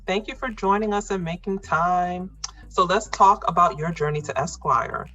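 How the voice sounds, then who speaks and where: A woman podcast host with a husky voice, giving scripted introductions and interview questions. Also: lowish voice, moderate pace, fairly bright tone.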